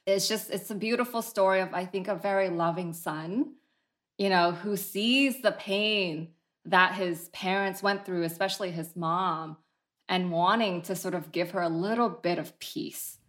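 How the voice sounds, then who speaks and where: A therapist who was born in Vietnam, calmly explaining history and family trauma as an interview guest. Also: male voice, high-pitched, a little slow. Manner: calm, explanatory.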